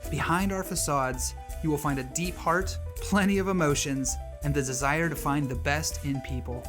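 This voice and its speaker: A man reads a scripted podcast introduction in a warm, measured tone. Clear music plays under his voice throughout. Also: fairly high pitch, fairly even intonation. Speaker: podcast host. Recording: narration over intro music.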